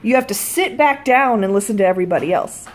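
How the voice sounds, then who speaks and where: A woman's voice: medium pitch, lively intonation, fast. A woman telling a personal story in a casual vlog style, blunt and freely swearing. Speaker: vlogger.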